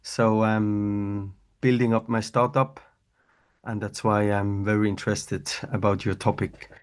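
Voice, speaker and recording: A man's voice: fairly low pitch, flat delivery, unhurried, smooth. A man from Switzerland with a Swiss French accent, a native French speaker, hesitantly explaining his startup on a video call. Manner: hesitant, searching for words. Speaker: webinar participant. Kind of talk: casual chat.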